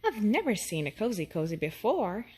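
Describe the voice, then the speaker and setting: A woman's voice: mid-range voice, sing-song, normal speed, darkish tone, slightly rough. A woman voicing a curious, eager little boy tiger in a children's storybook, with a wondering, childlike manner. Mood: helpful, curious.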